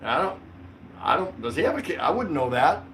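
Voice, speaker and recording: An older man hosts a relaxed livestream from a small indoor room. He rambles conversationally with a joking, mildly sweary manner. A light layer of background noise sits behind his voice. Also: on the high side, a little fast. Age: older, around 60. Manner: rambling, jokey, mildly profane. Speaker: livestream host. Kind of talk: casual livestream chat.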